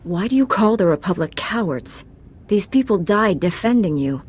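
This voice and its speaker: Resolute female knight, played by an actress, medium pitch. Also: animated, moderate pace, a little mellow, natural voice. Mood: questioning. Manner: firm, self-assured, direct. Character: resolute, candid young female Jedi knight. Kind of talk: scripted video game dialogue.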